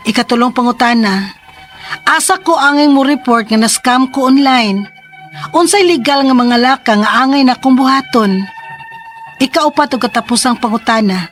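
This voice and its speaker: A woman's voice: high voice, lightly acted. An actress playing a trusting, desperate young female job seeker in a radio drama, with a Cebuano accent. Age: young adult. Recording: music bed behind.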